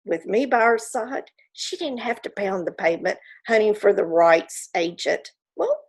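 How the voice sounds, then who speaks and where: A woman's voice: medium pitch, animated, moderate pace, husky. A woman playing a proud, gossipy 1940s Hollywood stage mother in a theatrical monologue, chatty and self-satisfied, with confiding asides. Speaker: actor in a staged reading.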